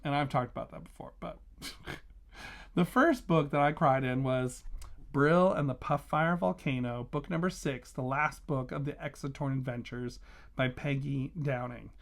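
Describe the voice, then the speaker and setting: A man's voice: mid-range voice, unhurried, creaky. A man talking casually as a vlogging reviewer, gushing with emphatic, enthusiastic delivery. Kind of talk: casual chatty book review vlog.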